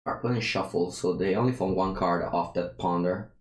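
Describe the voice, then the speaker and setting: A man's voice: sits low, a little fast, roomy sound. A young man from Italy with an Italian accent, casually commenting on his own card game as he plays, thinking aloud and often restarting his sentences. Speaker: streamer playing a card game.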